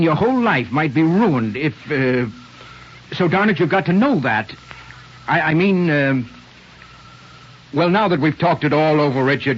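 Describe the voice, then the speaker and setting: A male actor playing an awkward, tender middle-aged American father in a halting 1940s radio drama style. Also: medium pitch. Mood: flustered, halting. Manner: halting, hemming and throat-clearing. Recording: muffled vintage radio with music behind.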